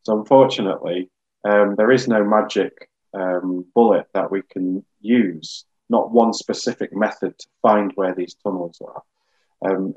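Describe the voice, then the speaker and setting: A man in his thirties giving measured explanations as a geologist guest on a webinar video call. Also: sits low, a little mellow, breathy edge, lo-fi sound. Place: small room, indoors, on a video call.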